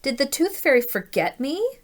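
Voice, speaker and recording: A woman's voice: high-pitched, big pitch swings, normal speed, voice pushed up. A woman voicing a big, needy, eager elephant in a children's storybook, with plaintive delivery.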